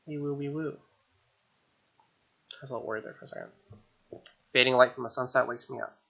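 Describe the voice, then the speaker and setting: A man's voice: mid-range voice, steady tone, fairly quick, creaky. A young man reading visual novel narration aloud as a let's player, informal and dropping casual asides. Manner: informal, with gamer slang. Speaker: video game let's player. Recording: slightly dull sound, music behind. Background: soft game music.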